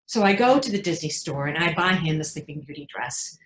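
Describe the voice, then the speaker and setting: A woman playing an anxious, protective mother in a frank, wry, confiding dramatic monologue, pausing for emphasis. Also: sits low, expressive. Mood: earnest, anxious. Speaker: actor in a readers theatre performance. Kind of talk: dramatic stage monologue, conversational storytelling. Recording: echoey room sound.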